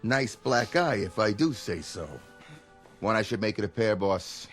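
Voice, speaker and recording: An actor playing a gloating, obedient mob henchman with a husky voice and blunt, slangy tough-guy talk. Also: on the low side, expressive, unhurried, fairly bright tone, faint music behind. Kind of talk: old-time radio crime drama acting.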